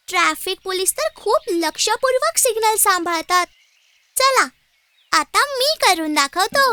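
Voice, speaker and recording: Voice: very high voice, full character voice. A performer voicing a cheerful child cartoon character who explains games to playmates in simple, exclamatory phrases, in a children's educational cartoon. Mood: eager, instructive. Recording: music behind the voice.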